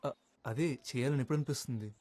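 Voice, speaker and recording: An actor playing a kind, earnest young auto driver, eager and teasing on the phone. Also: male voice, mid-range voice, racing, darkish tone, natural voice. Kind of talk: film dialogue. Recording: film soundtrack with music behind.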